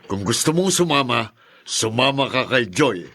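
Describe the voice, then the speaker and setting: A man's voice: medium pitch, sing-song, unhurried, sharp tone, full character voice. A man acting in a radio drama as a reluctant, stubborn father. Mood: reluctant, curt.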